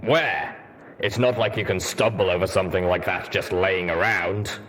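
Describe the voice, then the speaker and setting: A gruff, armored pony soldier from a fantasy story, acted out by a man. He speaks low and stern, with an accusing, demanding temper. He sounds like a hard veteran who cannot believe the fools around him. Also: steady tone, normal speed, a bit bright, slight background noise. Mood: dismayed.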